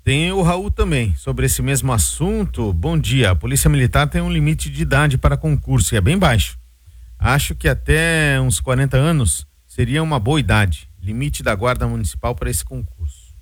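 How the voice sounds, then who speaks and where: Brazilian man, radio co-host, husky voice, dry close sound. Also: medium pitch, expressive, normal speed, dry recording. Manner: casual, reads messages aloud. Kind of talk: reading listener messages on radio.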